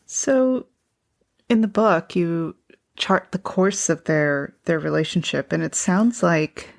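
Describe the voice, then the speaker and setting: A woman hosting a podcast, recapping her guest's story in a measured, summarizing way. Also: fairly low pitch, vocal fry. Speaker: podcast host. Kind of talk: interviewing, frequent backchannels.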